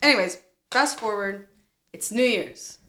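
A young adult woman with an American accent, co-hosting a podcast in casual, animated storytelling with blunt, jokey, profanity-laden talk. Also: medium pitch, unhurried. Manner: foul-mouthed, blunt, jokey. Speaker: podcast co-host.

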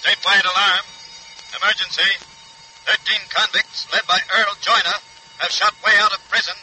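Very sharp forceful police radio dispatcher, high pitched, clipped bulletins, old time radio drama. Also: male voice, steady tone, moderate pace, faint music behind. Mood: urgent, official. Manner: clipped, urgent, official. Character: male police dispatcher broadcasting urgent manhunt bulletins. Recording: faintly noisy 1940s radio recording.

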